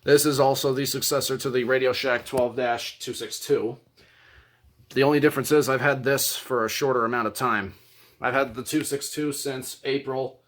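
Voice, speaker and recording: Male hobbyist vlogger, average pitch, casual show-and-tell delivery. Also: steady tone, normal speed, fairly bright tone, a little hiss or hum. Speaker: hobbyist presenting a radio collection.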